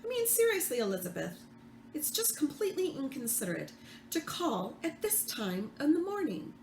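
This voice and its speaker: A woman's voice: high voice, sing-song, moderate pace, darkish tone, pitched above the performer's own voice. A woman voicing a vain, self-centred mother in a novel, curt, blasé and complaining. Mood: irritated. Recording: roomy echo, faint background noise.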